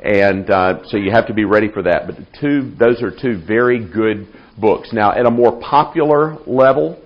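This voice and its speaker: A man, a theology professor, answering audience questions in a live talk, measured and unhurried with many fillers and restarts, with a loud voice. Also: on the low side, muffled recording. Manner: loud projecting voice.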